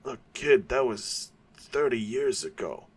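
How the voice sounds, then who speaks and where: A man's voice: medium pitch, animated, slow, slightly rough. A man voicing a dying old male mob boss who chats casually about his crimes. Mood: sly, reminiscing. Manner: chatty, boastful storytelling.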